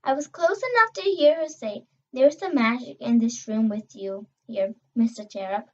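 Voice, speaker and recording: Young girl reading a kids book out loud, really high voice, expressive, trips on words, kinda muffled lo-fi recording. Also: normal speed. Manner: expressive, emphatic on dialogue, stumbles over words. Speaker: reader. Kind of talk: reading a children's novel aloud.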